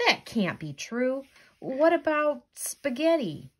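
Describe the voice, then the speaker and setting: A woman's voice: high voice, big pitch swings, slow pace, pitched above the performer's own voice, exaggerated voice. A woman voicing an earnest, exasperated jellyfish friend in a storybook, questioning and coaxing. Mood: incredulous.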